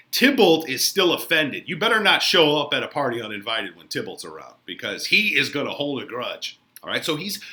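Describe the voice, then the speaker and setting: A man's voice: medium pitch, pushed and bright. A man giving informal, conversational lesson commentary as a literature teacher, explaining with emphasis.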